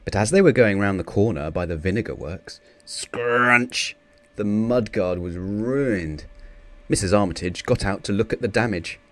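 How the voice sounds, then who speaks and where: A man's voice: lowish voice, measured pace, fairly bright tone. A man narrating a children's picture book expressively, theatrical on the sound-effect words. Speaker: storybook reader.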